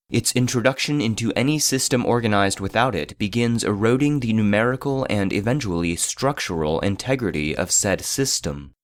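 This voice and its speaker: An actor playing an impassive archive database voice, reading classified reports in a formal, measured, clinical way. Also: male voice, lowish voice, no room sound, lightly acted. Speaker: narrator. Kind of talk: scripted narration, clinical report.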